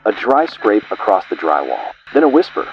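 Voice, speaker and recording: A man's voice: fairly low pitch, sing-song, moderate pace, dull audio, a little hiss or hum. A man giving ominous scripted horror narration, delivered in short clipped fragments.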